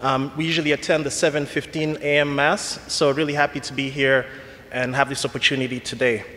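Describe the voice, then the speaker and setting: A man in his forties with a Kenyan accent, giving an earnest, measured reflection to a church congregation. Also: medium pitch, level tone, sharp tone, slight background noise. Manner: earnest, measured, slightly self-deprecating. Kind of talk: prepared church talk. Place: church sanctuary. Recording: long church reverb.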